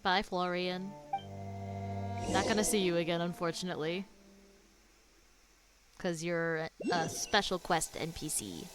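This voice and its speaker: Lowish-voiced woman reacting to a game. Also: fairly even intonation, measured pace, long pauses. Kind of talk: casual live game reaction. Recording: game music behind the voice.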